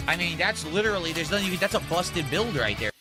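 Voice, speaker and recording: A man's voice: fairly high pitch, sing-song, fast talker, over music. A man streaming a game and ranting in frustration, swearing and begging viewers for support. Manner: pleading, frustrated, profane ranting. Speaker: gaming streamer. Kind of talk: live gameplay commentary.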